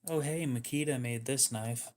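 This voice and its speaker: A man hosting a livestream in casual chat, talking in a dry, monotone, subdued way that sounds depressed to him. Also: mid-range voice, a little hiss or hum. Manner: dry, self-deprecating, unhurried. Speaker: livestream host.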